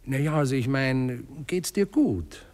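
An actor playing a dreamy, philosophizing gentleman with a husky voice and Viennese accent, musing haltingly in a 1960s radio play. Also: medium pitch, expressive, lightly acted. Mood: earnest, probing. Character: dreamy, philosophizing gentleman waking after a night out.

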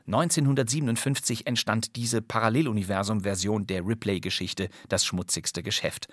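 A man's voice: fairly low pitch, a bit bright, no echo, clean studio sound. A man hosting scripted, wry presentations of old radio crime plays in a playful, theatrical storytelling way. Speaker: podcast host.

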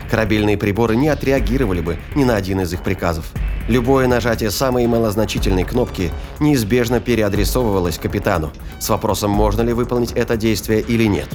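Low-voiced male narrator over music. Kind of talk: scripted science-fiction thriller narration.

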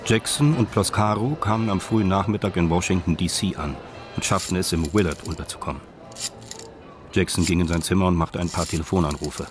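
A man's voice: deep voice, fairly quick, soft background music. A man reading scripted narration for a radio crime drama.

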